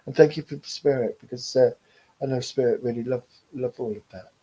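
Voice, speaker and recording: A man working as a medium, giving a live online reading in a gentle, rambling, reassuring way with frequent fillers and soft laughs. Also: mid-range voice, fairly quick, husky, low-quality recording.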